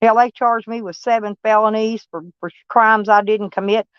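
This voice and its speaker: Live-stream host: a blunt, folksy Texas-accented woman, smooth voice, dull lo-fi audio. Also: fairly high pitch, little pitch movement, normal speed, dry recording. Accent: Texan. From Kentucky, USA. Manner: rambling, folksy, blunt and swearing. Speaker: livestream host. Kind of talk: casual live-stream chat with viewers.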